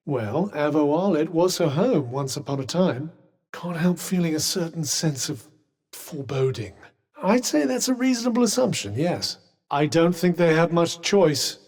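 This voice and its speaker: Haughty enchanted cuff, British accent, dry wit, formal phrasing, raised pitch, lively intonation, video game companion. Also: male voice. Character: sardonic, haughty, well-spoken talking magic cuff companion.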